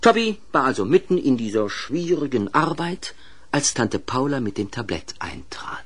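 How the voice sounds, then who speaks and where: A man narrating a children's audio play in an expressive, scripted storytelling style with pointed pauses. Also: medium pitch, husky.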